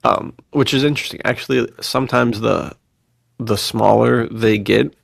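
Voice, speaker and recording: A man's voice: medium pitch, steady tone, normal speed, gravelly, dry recording, clean studio sound. A man chatting casually as a podcast co-host, enthusiastic and full of bro slang. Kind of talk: casual chat.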